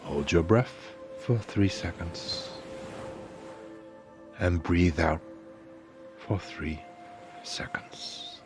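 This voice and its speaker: A man narrating a guided meditation in a soothing, deliberate way, leaving pauses between phrases. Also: deep voice, music in the background.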